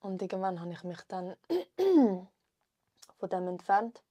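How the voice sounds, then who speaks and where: A woman's voice: medium pitch, big pitch swings, slow pace, mellow, no room sound, studio quality. A young woman with a Swiss German accent, a guest talking earnestly and chattily in a podcast conversation. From Switzerland. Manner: earnest, chatty, many fillers like voll and so. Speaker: guest rapper.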